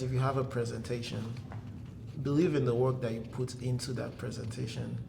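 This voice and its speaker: A young Nigerian man with an Igbo accent, calmly giving advice as a guest in a casual interview. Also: mid-range voice, gravelly, background noise.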